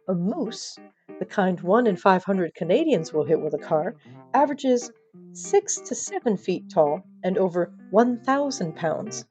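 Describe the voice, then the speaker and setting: Playful woman storyteller with lively, swooping delivery. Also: mid-range voice, lo-fi sound. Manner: playful, wry asides to the listener. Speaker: story narrator. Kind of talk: comic scripted audio fiction narration. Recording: band-limited sound, music behind.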